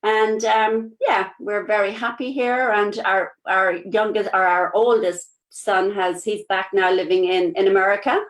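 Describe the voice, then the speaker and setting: A woman's voice: medium pitch, steady tone, unhurried, few pauses, low-quality recording. Irish lady in her 60s, chatty, rambly, lots of you knows, live video call. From Ireland. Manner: chatty and rambling, many "you know"s, laughs lightly. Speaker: interview guest, nurse. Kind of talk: casual life-story chat. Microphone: live-streamed video call.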